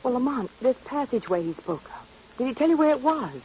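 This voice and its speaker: An eager, inquisitive lady sleuth in a 1940s radio mystery. Her voice is high and animated, with an earnest, theatrical edge. The recording is old and dull. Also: brisk, a little hiss or hum. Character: eager, curious female sleuth companion. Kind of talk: 1940s radio drama acting.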